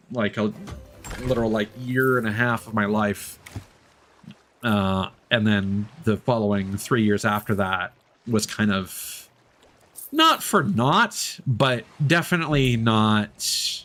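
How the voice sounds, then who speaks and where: A man chatting casually as he livestreams a game, candid and reflective, in a halting, rambling way that often doubles back to correct itself. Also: on the low side, fairly bright tone, a little hiss or hum. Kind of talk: casual streamer chat while gaming.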